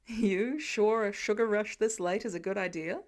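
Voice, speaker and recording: A woman's voice: mid-range voice, moderate pace, lightly acted. Woman playing a dry, sarcastic protective dad. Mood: sardonic teasing.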